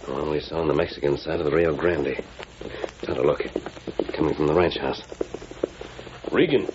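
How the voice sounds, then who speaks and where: A grave masked western hero speaks in a deep register. He gives orders formally and with authority, in 1940s radio style, on a dull vintage recording. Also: fairly even intonation, moderate pace, noisy background. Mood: hushed, alert.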